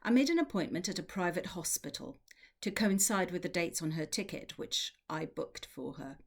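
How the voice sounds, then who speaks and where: A woman with a low-pitched voice reads literary fiction aloud as a narrator. Her delivery is measured and expressive, shaped like an audiobook reading. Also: vocal fry, husky. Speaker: literary translator reading from a novel.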